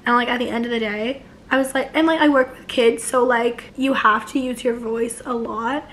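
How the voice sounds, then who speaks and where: Young woman, hoarse, raised pitch, rambling vlog chat, camera in front. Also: steady tone, normal speed, a bit bright, a little hiss or hum. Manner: rambling, many likes and restarts, gestures a lot. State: hoarse, losing her voice. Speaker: vlogger. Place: living room couch, indoors.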